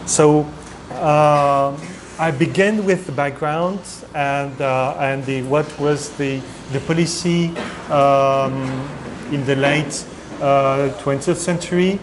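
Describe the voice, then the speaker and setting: A man's voice: fairly high pitch, fairly even intonation, takes it slowly. A man giving a guest lecture, hesitant and full of fillers and restarts, in a room with air conditioning hum. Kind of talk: academic lecture.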